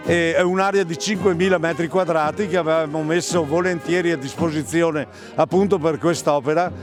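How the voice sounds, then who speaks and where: A man with a fairly high voice gives an unscripted interview as a local official. His delivery is measured and broken by pauses, and music plays clearly underneath. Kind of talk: spoken news interview, unscripted.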